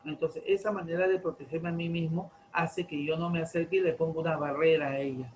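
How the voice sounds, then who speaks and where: Deliberate man in his forties, a teacher. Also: a little high, steady tone, slight background noise, low-quality recording. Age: 40s. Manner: slow and deliberate, stretching out key terms, many rhetorical questions. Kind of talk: classroom lecture to teenagers.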